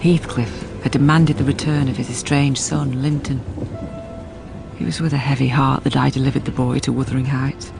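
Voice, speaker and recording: An actress playing a sensible, anxious middle-aged housekeeper who tells a gothic tale in a radio drama, grave and measured. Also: female voice, a bass voice, steady tone, gravelly, over loud music. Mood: sorrowful. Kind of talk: radio drama narration and dialogue.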